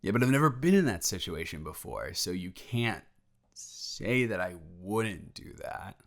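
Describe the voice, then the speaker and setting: Casting: male actor for protective young male best friend and roommate, low register, halting casual delivery, sheepish with a jealous streak, intimate audio drama. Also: sing-song, gravelly, full character voice. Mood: defensive. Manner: hesitant, casual, halting with pauses. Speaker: audio roleplay performer. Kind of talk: improvised intimate roleplay monologue.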